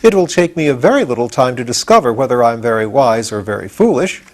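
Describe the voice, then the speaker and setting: A man's voice: medium pitch, animated, quick pace, a little mellow, lightly acted. A man voicing an earnest, newly living pumpkin-headed man with a grave, wordy manner, in a storybook reading. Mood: grave, pondering.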